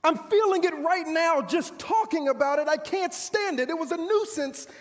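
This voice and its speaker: A man performing a theatrical stage monologue as a proud, bitter army commander, pompous and declamatory, with dramatic pauses. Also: very high voice. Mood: bitter, frustrated. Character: proud, boastful male army commander of ancient Israel's era, bitter. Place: large church hall stage. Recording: echoey hall with long reverb.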